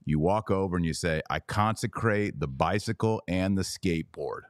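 A man's voice: low voice, normal speed, no room sound, clean studio sound. A man hosting a conversational religious debate podcast, arguing emphatically with hypothetical examples. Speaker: podcast host.

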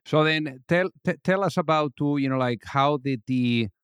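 A male interview host puts his questions in long, meandering runs, padding them with "you know" and "like". His voice sounds smooth and clear, and it comes through a dry, close mic. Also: fairly high pitch, moderate pace, a bit bright, dry recording. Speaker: podcast host.

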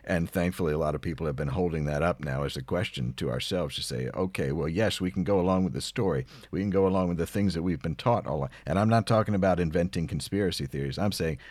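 Deep voiced guy interviewing, lots of uhs, animated pitch, dry close sound. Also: husky, no room sound. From near Philadelphia. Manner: thoughtful, hesitant with many uhs, laughs readily. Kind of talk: casual interview conversation.

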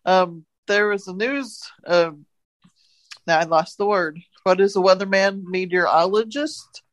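A woman's voice: fairly low pitch, no room sound. Female podcast co-host, folksy and joking, casual news banter, dry sound. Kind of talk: casual chat retelling odd news stories.

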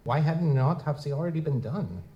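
A man's voice: on the high side, expressive, fairly quick, muffled recording, lightly acted. A man playing a wry, wisecracking goblin private eye in a hard-boiled noir audio drama. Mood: polite, probing. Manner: wry, feigns hesitant politeness. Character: wisecracking male lutin goblin private investigator, narrating noir-style. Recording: noisy live stage recording.

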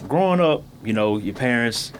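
A man's voice: medium pitch, animated, normal speed, a little hiss or hum. A man from Louisiana, telling personal stories in a casual, slangy way as a podcast guest. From Baton Rouge, Louisiana, USA. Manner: slangy, conversational. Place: podcast studio.

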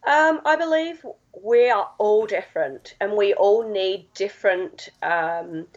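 A woman from England with a husky voice, chatting as a guest and explaining at length with many fillers. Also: medium pitch, takes it slowly. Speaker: guest, health science lecturer. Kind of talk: phone-in podcast interview. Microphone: phone line. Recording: dull lo-fi phone line.

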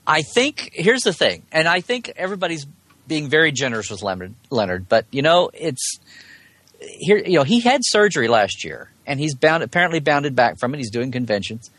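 A man's voice: on the high side, sing-song, normal speed, a bit bright, dry recording. A man speaking as a podcast guest in a casual, rambling chat about sci-fi, wry and jokey in his delivery. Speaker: podcast guest, Star Trek commentator.

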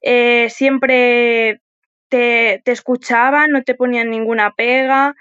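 A dull, lo-fi recording carries a fairly high female voice with an accent from Spain. She chats casually as a podcast guest, rambling informally through what she has to tell. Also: fairly even intonation, takes it slowly, fairly bright tone, clean tone. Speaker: podcast guest and event helper. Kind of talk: casual chat.

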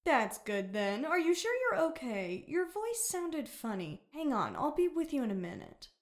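A woman acting as a caring, fussing mother in a family audio drama. Her voice is very high, with lively swings in pitch. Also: moderate pace. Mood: motherly concern. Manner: sweet and fussing with children. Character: caring, overprotective mother working for police.

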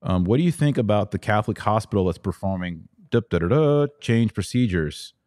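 A man's voice: fairly low pitch, animated, brisk, a little mellow, no room sound, clean studio sound. An American man hosting a casual livestream, chatting informally with playful slang as he answers viewers. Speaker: podcast host.

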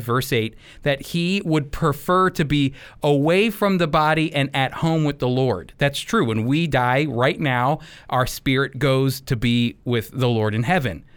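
An American man teaching the Bible as a radio host, speaking earnestly and emphatically in a conversational preaching style. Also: medium pitch. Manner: earnest, emphatic, rhetorical questions.

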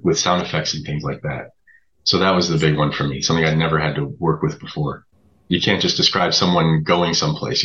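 A man being interviewed as a writer, explaining things conversationally in a halting way. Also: a bass voice, a bit bright, lo-fi sound.

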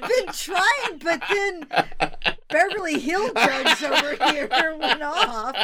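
A woman's voice: high voice, lively intonation, slow pace, over a noisy crowd. A tired woman, chatting casually and teasingly as a podcast co-host, prone to giggling. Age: 40s. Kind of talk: casual chat.

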